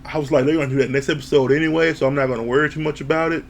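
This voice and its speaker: A man giving a casual, animated recap of a reality TV episode, laughing, swearing, and acting out what people said. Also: fairly high pitch, racing, creaky, a little hiss or hum. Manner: animated, laughs, acts out quoted lines, swears. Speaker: reality TV reviewer.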